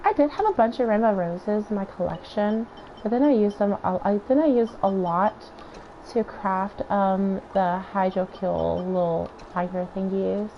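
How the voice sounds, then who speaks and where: A hoarse woman with a raspy voice, chatting and thinking aloud in casual gameplay commentary as she streams. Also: mid-range voice, a little slow. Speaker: game streamer. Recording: dull lo-fi stream audio with faint noise.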